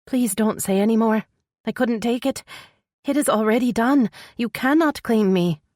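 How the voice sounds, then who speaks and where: A woman voicing a tearful young supernatural princess in a romance audiobook, soft and shaky, pleading sorrowfully yet resolute. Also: moderate pace, dry recording, clean studio sound, close to an everyday voice. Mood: desperate, pleading. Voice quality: soft, shaky, higher-pitched voice. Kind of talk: dramatic romance audiobook dialogue.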